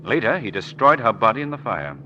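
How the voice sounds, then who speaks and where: An actor playing a confident gentleman detective in a 1940s radio drama. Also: medium pitch, sing-song, a little fast, muffled recording. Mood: amused, assured. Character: confident, keen-witted gentleman detective explaining a solved case. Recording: noisy old 1940s radio broadcast.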